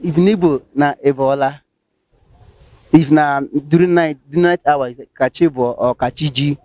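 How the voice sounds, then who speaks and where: A man's voice: fairly high pitch, normal speed, warm tone, dry recording. A man from Rivers State, Nigeria, speaking with a Nigerian Pidgin accent and answering hesitantly, with many fillers and restarts. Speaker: interviewee. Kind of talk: casual street interview.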